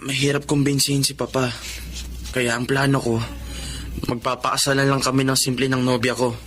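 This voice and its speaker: An actor playing a polite, level-headed young bachelor in a Filipino melodrama, speaking frankly and courteously. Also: male voice, medium pitch, flat delivery, brisk, fairly bright tone, lightly acted. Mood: frank, confiding. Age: young adult.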